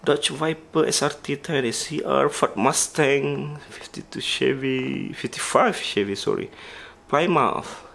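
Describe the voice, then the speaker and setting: Slow, gravelly-voiced man listing toy cars. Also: medium pitch, a bit bright. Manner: halting, with restarts and listed names. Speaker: diecast collector. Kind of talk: casual toy collection walkthrough.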